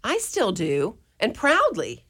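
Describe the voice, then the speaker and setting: A woman playing an outspoken elderly mother superior of a convent in a community radio play, talking brisk and firm. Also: medium pitch, huge pitch swings, fairly bright tone, full character voice. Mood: wry. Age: older. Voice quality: brisk, firm and preachy, with ready laughs. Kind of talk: community radio drama acting.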